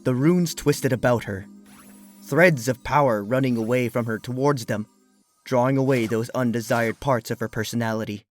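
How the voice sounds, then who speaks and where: A man narrates a scripted fan fiction story. His voice sits at a medium pitch, with music clearly playing behind him. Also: moderate pace. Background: background music.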